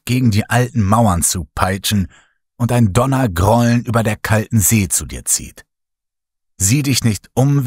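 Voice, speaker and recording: Male horror narrator, slow and hushed, breathy timbre, dry booth sound. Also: lowish voice, no echo. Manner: slow, hushed, dramatic storytelling. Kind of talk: scripted horror audiobook narration.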